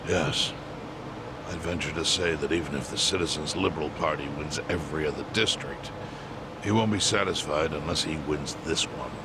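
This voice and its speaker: An actor playing an elderly, world-weary chairman in a video game, with a measured, dry, pragmatic manner over background noise. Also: extremely low pitch. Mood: grave, resigned. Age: older. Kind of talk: English video game voice acting.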